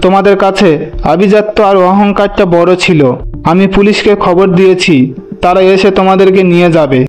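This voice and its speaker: A man's voice: high-pitched, flat delivery, fairly quick, natural voice. A man playing a grieving, righteous young husband in a cartoon melodrama, speaking in an anguished, reproachful and emphatic way. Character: grieving young husband, righteous son confronting his parents. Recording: music under the voice.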